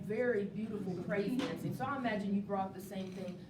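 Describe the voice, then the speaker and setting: A woman's voice: mid-range voice, fairly quick. A woman hosting a live interview, encouraging and affirming the people she talks to. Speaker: host interviewing a stage play cast. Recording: noisy, echoey room, distant mic.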